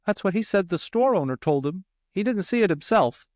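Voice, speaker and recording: A man's voice: sits high, expressive, a little fast, darkish tone, no room sound, studio quality. A man voicing a polite, modest mother mouse in an audiobook, speaking carefully and tentatively. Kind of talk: audiobook character voice.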